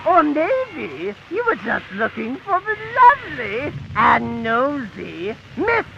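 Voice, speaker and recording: A man's voice: extremely high pitch, wildly swooping pitch, vocal fry, a crowd in the background, heavily acted. An actor playing a gloating, theatrical hooded madman in the melodramatic style of a 1940s radio serial. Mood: gloating menace. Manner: melodramatic, drawn-out taunting delivery. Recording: dull old-time radio sound, faint noise.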